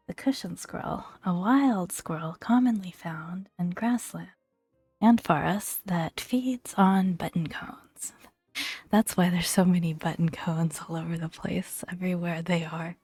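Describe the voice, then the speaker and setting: A woman hosting a soft-spoken ASMR gaming video, talking gently and fondly in a hushed voice that often slips toward a whisper. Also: lowish voice, slightly rough, dry recording. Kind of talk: soft-spoken ASMR, relaxed commentary and read-aloud entries.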